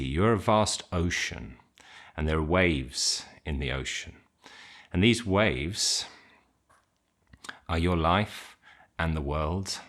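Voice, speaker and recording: A man leading an unscripted guided meditation, giving simple instructions in an informal, gently hesitant way. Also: very deep voice.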